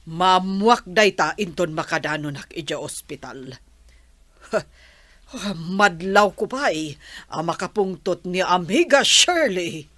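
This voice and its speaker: An actress playing a concerned, indignant grandmother-to-be in a radio drama, expressive and exclaiming. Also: on the low side, takes it slowly, no room sound, exaggerated voice.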